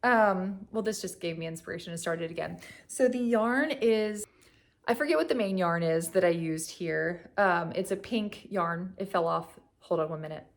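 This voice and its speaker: Young woman, slightly hoarse, casual rambling chat, fillers and laughs, home on a couch. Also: lowish voice, moderate pace. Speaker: knitting vlogger.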